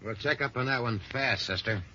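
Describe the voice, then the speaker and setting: An actor playing a blunt, wisecracking, slangy police detective sidekick in an old-time radio drama. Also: male voice, mid-range voice, a little fast, bright, forceful tone. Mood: tough, eager. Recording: muffled, noisy old radio recording.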